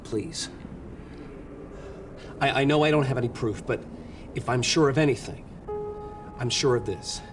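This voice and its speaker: A man's voice: medium pitch, unhurried, pauses often, lightly acted. Man playing earnest young American agent over music. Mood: pleading, insistent. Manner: hesitant, pleading, deferential. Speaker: young federal investigator. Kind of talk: video game cutscene acting. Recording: game dialogue over background music.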